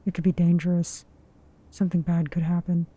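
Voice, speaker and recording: A woman's voice: lowish voice, level tone, a little fast, dark tone, husky. A woman playing a guarded, protective, worried officer in an audio drama, speaking in terse, understated lines. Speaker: voice actor. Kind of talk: naturalistic audio drama acting.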